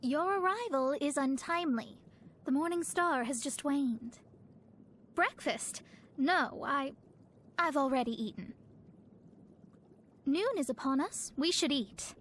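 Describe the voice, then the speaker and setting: A woman's voice: high-pitched, lively intonation, takes it slowly, long pauses, a bit bright, slight background noise, heavily acted. A woman voicing a proud young female astrologer in a video game, speaking formally and pompously with theatrical delivery. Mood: flustered. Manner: formal, pompous, precise wording. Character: proud young woman astrologer, haughty, scholarly, easily flustered.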